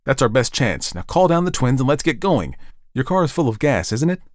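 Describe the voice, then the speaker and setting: A man voicing a desperate, urgent father in an audiobook story, barking out forceful commands. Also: mid-range voice, very animated, brisk, no echo, studio quality, voice pushed up, lightly acted. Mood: barking, frantic. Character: desperate, urgent father fleeing an alien invasion. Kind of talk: dramatized audiobook reading.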